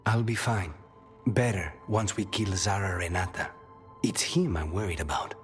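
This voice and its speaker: A man's voice: low voice, normal speed, faint music behind, close to an everyday voice. An actor playing a brooding, guarded male assassin with an intense streak in a video game. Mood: worried.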